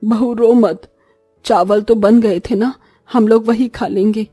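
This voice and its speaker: A woman playing a gentle, patient elderly mother-in-law in a cartoon story, speaking with soothing resignation. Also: on the high side, fairly even intonation, slow, slightly rough, no room sound, faint music behind, voice pushed down. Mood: resigned, consoling. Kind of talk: Hindi cartoon story voice acting.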